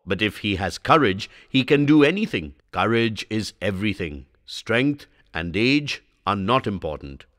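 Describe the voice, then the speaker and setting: A man's voice: lowish voice, very animated, measured pace, hardly any pauses, fairly bright tone, dry recording. Brief: strict sneering father, firm and lecturing, a man playing him, dry storybook read.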